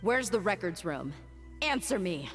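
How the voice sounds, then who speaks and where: A stern young woman mercenary in a video game, speaking low over soft music. She is blunt and commanding, with weight in every line. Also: sing-song, a little slow. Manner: firm, blunt, demanding.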